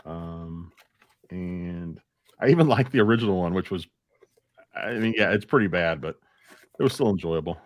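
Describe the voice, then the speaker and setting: A man's voice: sits low, expressive, normal speed, long pauses, no echo. An American man co-hosting a podcast, chatting casually with a group. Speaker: podcast co-host.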